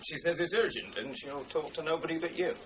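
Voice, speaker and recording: An actor playing a deferential junior police officer in a radio crime drama. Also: male voice, a little high, brisk, a crowd in the background, natural voice. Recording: dull, noisy radio drama recording.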